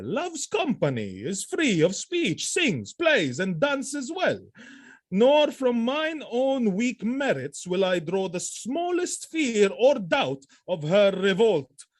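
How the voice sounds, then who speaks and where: An actor playing a proud military husband troubled by jealousy, speaking Shakespearean verse emphatically and haltingly in an audio drama. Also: male voice, high-pitched, no room sound, clean studio sound, exaggerated voice.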